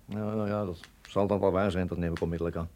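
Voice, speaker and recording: A man playing a blunt, irritable army colonel in a radio drama, gruff and grumbling. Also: deep voice, fairly even intonation, fast talker, warm tone, a little hiss or hum, natural voice. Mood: irritated, grumbling. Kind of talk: Dutch radio drama acting.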